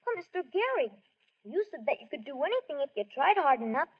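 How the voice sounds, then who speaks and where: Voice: high-pitched, sing-song, fast. A woman playing an earnest, polite young boy who loves baseball, in 1940s radio acting style. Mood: hopeful, pleading. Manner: polite, wistful, pleading. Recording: muffled vintage radio recording.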